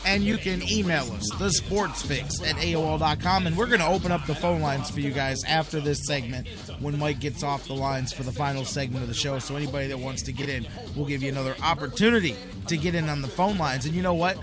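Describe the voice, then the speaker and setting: A man's voice: a little high, fast talker, over loud music. A man hosting a live sports talk radio show in a conversational, opinionated way.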